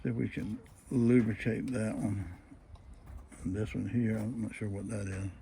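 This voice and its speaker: A man with a husky voice gives a casual, unscripted demonstration, explaining things in a plain-spoken, halting way. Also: on the low side, fairly even intonation, warm tone, a little hiss or hum. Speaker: presenter showing a restored old radio.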